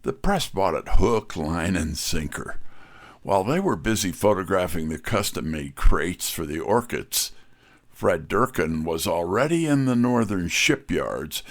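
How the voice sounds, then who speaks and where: A man's voice: sits low, big pitch swings, measured pace, full character voice. A man playing a wry, businesslike detective's assistant, narrating and talking in hard-boiled style for a detective drama. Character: brisk, wry male detective's right-hand man. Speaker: narrator and character actor.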